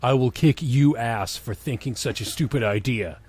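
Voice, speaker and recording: A man's voice: medium pitch, normal speed, darkish tone, pitched below the performer's own voice, lightly acted. Man acting an irritable, bossy young wizard. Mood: annoyed threat. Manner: gruff, blunt, growling.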